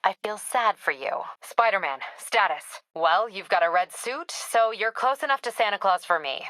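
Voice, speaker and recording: An actress playing a female police captain in a video game, brisk and weary, with a clipped, businesslike, dryly wry way of talking. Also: mid-range voice, expressive, sharp tone, dry recording. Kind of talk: video game voice acting, radio dispatch briefings.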